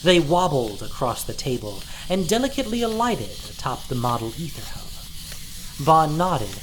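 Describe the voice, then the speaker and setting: A woman narrating a dramatic story from a script, expressive and deliberate in her telling. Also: sits low, noisy background.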